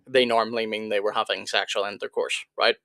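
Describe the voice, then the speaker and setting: A man's voice: on the low side, little pitch movement, brisk, bright, forceful tone, no echo. Argumentative man in a dry booth. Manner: argumentative, restarts and repeats words. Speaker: apologetics channel host. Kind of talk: live stream reaction chat.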